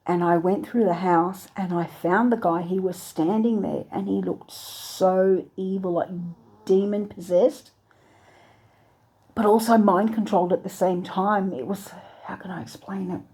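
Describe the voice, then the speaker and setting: A woman earnestly recounting a dream, halting now and then to search for words. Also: medium pitch, steady tone, mellow, breathy edge. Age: adult with a three-year-old daughter. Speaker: channel host recounting a dream. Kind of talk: personal dream testimony.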